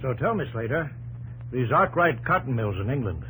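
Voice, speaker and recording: An actor playing a blunt, skeptical yet fatherly older New England businessman with a husky voice in a 1950s radio drama. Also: medium pitch, very animated, normal speed, fairly bright tone, exaggerated voice, rough recording. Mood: hearty, probing.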